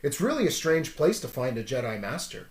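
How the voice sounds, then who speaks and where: Young adventurer, read by a male storyteller: eager, impatient, animated pitch, roomy recording. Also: a little fast, natural voice. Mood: uneasy.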